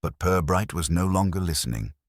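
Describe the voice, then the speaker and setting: A man tells a mystery tale in an extremely deep voice. His delivery is measured and dryly ironic, yet lively in its melody. The sound is close and dry. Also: dry recording. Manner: measured, literary storytelling with dry irony. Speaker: audiobook narrator. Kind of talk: scripted narration of a mystery story.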